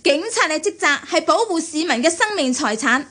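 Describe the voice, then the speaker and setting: A woman's voice: high-pitched, animated, fairly quick, pushed and bright. A woman playing a strict young nurse in a radio drama, firm, scolding and authoritative. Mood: stern, threatening. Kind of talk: Cantonese radio drama acting.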